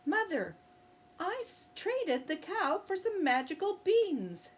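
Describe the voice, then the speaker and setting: A woman voicing an eager young boy in a children's storybook reading, in a raised, excited voice. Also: very high voice, takes it slowly, voice pushed up, heavily acted.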